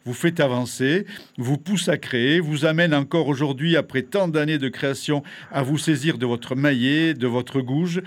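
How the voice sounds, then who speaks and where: A man hosting a radio interview, reading a scripted introduction in a measured, rhetorical way and then asking questions. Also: on the high side. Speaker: radio host.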